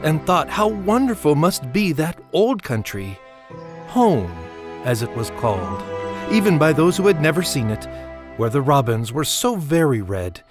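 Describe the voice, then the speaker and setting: Expressive male storyteller over music. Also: mid-range voice. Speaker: narrator. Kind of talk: literary scripted storytelling narration.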